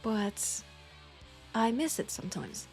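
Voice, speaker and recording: A woman with a French accent acting a nostalgic, playful old school friend in an intimate, casual audio roleplay, with an unhurried, teasing manner. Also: a little high, animated, faint music behind, rough recording. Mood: nostalgic, wistful. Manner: unhurried, teasing, breaking into soft laughs.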